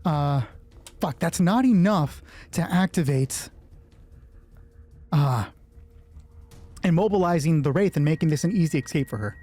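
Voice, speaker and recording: Husky, mellow man narrating a tabletop game. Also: on the high side. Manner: casual, swearing freely, thinking aloud. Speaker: solo game master and narrator. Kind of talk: narrating solo tabletop roleplay, reading out dice results.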